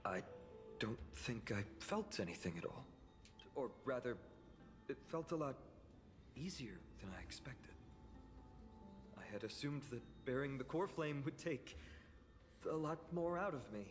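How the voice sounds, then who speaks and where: A man playing an earnest, thoughtful young hero warrior in a video game, with measured, sincere delivery. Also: mid-range voice, animated, natural voice. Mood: reflective. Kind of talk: scripted video game voice acting. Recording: long reverb, game music behind.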